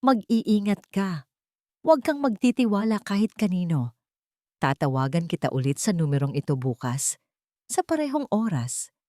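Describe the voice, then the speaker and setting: A woman's voice: fairly low pitch, sing-song, normal speed, warm tone, dry recording, clean studio sound, voice pushed up. A woman with a Filipino accent voicing a loyal, protective male lawyer in a dramatic audio story. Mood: earnest, protective.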